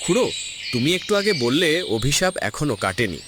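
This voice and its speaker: A man plays an inquisitive young cartoon hero, eager and impatient. The voice is highish and extremely animated, with clear noise behind it. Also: moderate pace. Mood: curious. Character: curious, eager young male hero questioning his uncle. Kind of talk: cartoon dialogue.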